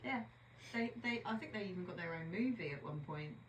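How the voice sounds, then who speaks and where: A woman's voice: fairly low pitch, expressive, a little fast, slight background noise. A woman co-hosting a podcast in casual chat, chatty and teasing, sometimes trailing off while searching for a word. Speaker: podcast co-host. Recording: echoey room.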